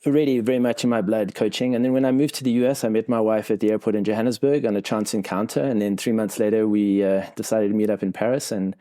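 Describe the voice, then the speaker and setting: Low, mellow-voiced middle-aged man. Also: fairly even intonation, fast, hardly any pauses, no room sound. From South Africa. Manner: rambling storytelling with many filler ums and uhs. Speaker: cycling coach, guest. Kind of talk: conversational podcast interview.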